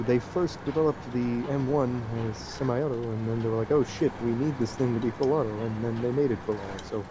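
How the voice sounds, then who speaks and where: A man with a mid-range voice gives his opinions in casual gaming chat. His delivery is a bit halting, and vehicle noise is clearly audible behind him. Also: medium pitch. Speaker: co-player on a livestream.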